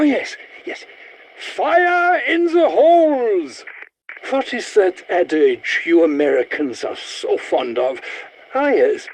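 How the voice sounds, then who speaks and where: An eccentric scientist for a video game. His voice sits fairly high and swings widely in pitch. He speaks slowly and precisely, with pompous, sardonic, squeamish theatricality. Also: slight background noise, heavily acted, rough recording. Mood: alarmed, flustered. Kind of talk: video game character voice lines.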